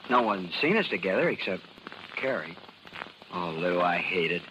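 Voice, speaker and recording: A man's voice: deep voice, expressive, moderate pace, lo-fi sound. An American man acting in a 1930s radio drama as an earnest, naive, lovesick country youth with boyish, ungrammatical speech. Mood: ardent. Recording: noisy vintage 1930s radio recording.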